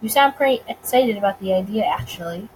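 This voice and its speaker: A woman's voice: medium pitch, expressive, fairly quick, slight background noise, natural voice, low-quality recording. A woman voicing a flustered, eager male detective in a visual novel, speaking casually and bluntly.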